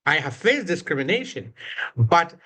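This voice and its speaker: A man from northern Ghana with a Ghanaian accent, giving a slow, reflective prepared talk at a commemoration event, lifting his voice on key words. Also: fairly high pitch, big pitch swings, pushed and bright, husky. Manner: slow, deliberate, with emphatic rises on key words.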